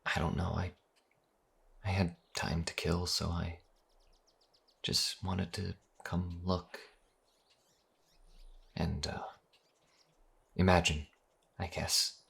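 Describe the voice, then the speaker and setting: A man plays a young fantasy priest with a low, creaky, husky voice. He is mild and affectionate, and he talks haltingly, often stumbling over his words in this audio drama. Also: level tone, exaggerated voice. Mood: pensive. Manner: halting, stammering, soft-spoken.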